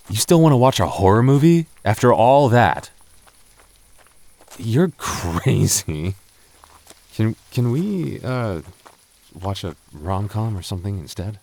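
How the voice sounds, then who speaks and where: An actor playing a gentle, nervous goth boyfriend in an ASMR roleplay, soft-spoken and stammering when flustered. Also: male voice, on the low side, big pitch swings, slow pace, creaky, long pauses, exaggerated voice. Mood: weary, teasing.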